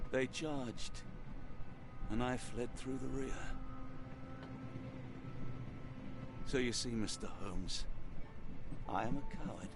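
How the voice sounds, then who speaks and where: An actor playing a guilt-ridden, middle-aged army surgeon who speaks formally and gravely in a game. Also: male voice, medium pitch, close to an everyday voice. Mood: mournful. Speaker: game character. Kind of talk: voice acting. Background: game music.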